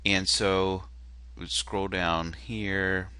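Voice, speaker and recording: A man's voice: low voice, little pitch movement, very slow, bright, forceful tone, clean tone. A man with a nasal voice, casually walking through a web page on screen, self-deprecating and hesitant with many fillers. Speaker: marketer critiquing a landing page. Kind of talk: casual screen-share walkthrough.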